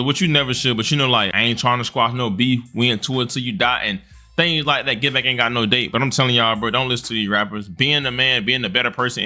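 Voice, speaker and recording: A man's voice: medium pitch, sharp tone. A man giving casual opinion commentary in an earnest, emphatic, slangy way.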